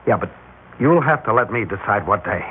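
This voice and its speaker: An actor in a classic radio drama playing an anxious, cornered air traffic controller who tends to stammer. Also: male voice, on the low side, expressive, brisk, slightly rough. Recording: tinny, noisy old radio broadcast.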